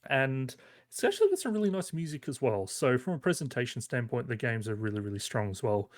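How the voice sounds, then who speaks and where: A man's voice: mid-range voice, sing-song, fast. A man giving a casual, conversational game review as a podcast reviewer, rambling a little with hesitant but enthusiastic phrasing.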